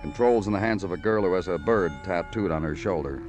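An actor playing a world-weary, poetic police detective in an old radio crime drama, speaking in clipped, lyrical phrases. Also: male voice, low voice, a little fast, a little mellow, lo-fi sound, close to an everyday voice. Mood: brooding. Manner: clipped, brooding, lyrical narration. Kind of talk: 1940s-50s radio noir acting. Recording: old radio recording with music.

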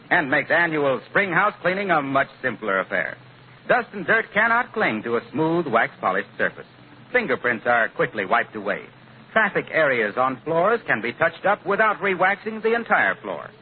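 A man's voice: on the high side, sing-song, moderate pace. A man announcing in a polished, declamatory 1940s radio style, reading from a script. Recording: noisy, narrow-band old radio recording.

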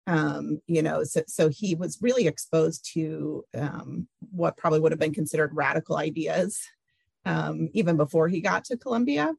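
A middle-aged woman being interviewed, talking hesitantly with many pauses and fillers. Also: low voice, gravelly, a little mellow, slightly rough, no echo. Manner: hesitant, many ums and restarts. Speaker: interview guest. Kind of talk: casual interview, recalling family history.

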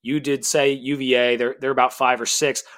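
A man's voice: medium pitch, level tone, normal speed, a bit bright. Casual male sports podcast host.

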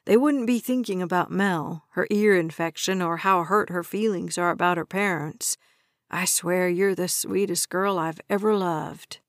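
A cocky grown man, as a woman narrator puts him on, speaking low and soothing with put-on sympathy. He sounds warm on the surface and manipulative underneath, in a dry, close audiobook read. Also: a little slow, dry recording.

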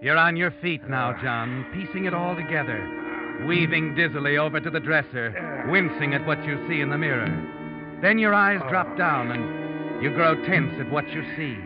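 An actor playing an ominous, knowing narrator of a 1940s radio suspense drama, speaking in a dramatic, deliberate, menacing way. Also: male voice, a little high, natural voice. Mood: ominous, taut. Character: ominous, knowing male narrator taunting a guilty man. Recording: dull old radio recording, loud music.

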